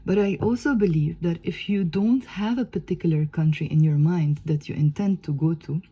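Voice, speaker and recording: A woman's voice: fairly low pitch, normal speed, warm tone, husky. A Lebanese woman, a native Arabic speaker, explaining things as a teacher in a conversational, emphatic way, often checking in with "okay?".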